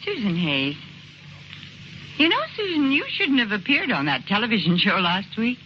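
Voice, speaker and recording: An actress playing a sly, pushy blackmailer in vintage radio drama style, pointed, self-assured and coolly threatening. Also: female voice, mid-range voice, big pitch swings, moderate pace, close to an everyday voice. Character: sly, pushy female blackmailer, ambitious and cool. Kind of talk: 1940s radio acting. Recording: muffled, noisy old radio recording.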